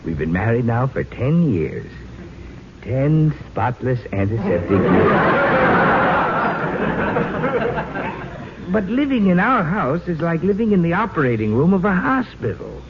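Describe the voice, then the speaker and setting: Wistful, courteous husband, noisy old radio. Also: male voice, a little high, sing-song, normal speed, lo-fi sound. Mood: wistful, dry. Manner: courteous, earnest, theatrical. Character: henpecked married visitor, wistful, polite, delighted by mess. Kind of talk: old-time radio sitcom acting.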